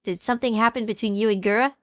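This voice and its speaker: A woman as an earnest, higher-voiced young streamer. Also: steady tone, rapid-fire, a bit bright, no echo, studio quality, natural voice. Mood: concerned. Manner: earnest, questioning. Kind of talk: animatic audio drama acting.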